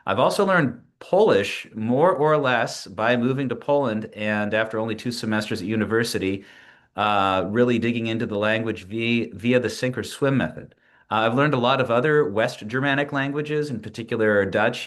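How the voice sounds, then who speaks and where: A man in his forties, a PhD student, walking through a slide presentation of his learning plan in a measured way, with frequent pauses and restarts. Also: mid-range voice, a bit bright. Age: 40s. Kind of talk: presentation narration over screen share.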